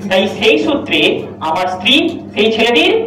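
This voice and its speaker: Guy acting exasperated village husband, really high voice, theatrical n insistent, far off in echoey hall. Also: very animated, fairly quick, pushed and bright, full character voice. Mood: exasperated explaining. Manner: explains step by step, insistent, theatrical. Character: exasperated village husband tangled in absurd family relationships. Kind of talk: comic stage audio drama dialogue. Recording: distant echoey hall recording with audience noise.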